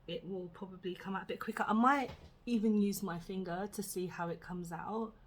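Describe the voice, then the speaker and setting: Brief: British woman beauty vlogger, mid-pitched, chatty and rambling, voice slightly husky, recorded at home. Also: fairly even intonation, a little fast, slight background noise. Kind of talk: casual makeup tutorial chat.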